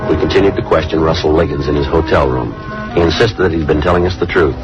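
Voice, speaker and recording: An actor playing a terse, skeptical police detective in a 1950s radio drama, deadpan and clipped. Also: male voice, extremely low pitch, quick pace. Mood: matter-of-fact. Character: dry, skeptical male police detective, narrating and interrogating. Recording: dull old radio recording with music.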